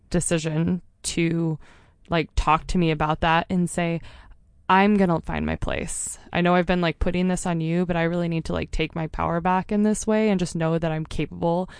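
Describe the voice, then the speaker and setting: A woman's voice: fairly low pitch, fairly even intonation, moderate pace, clear, resonant tone, no room sound, clean studio sound. A young adult woman hosting a podcast, chatting candidly with filler words and a bit of swearing. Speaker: podcast host. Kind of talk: casual interview chat.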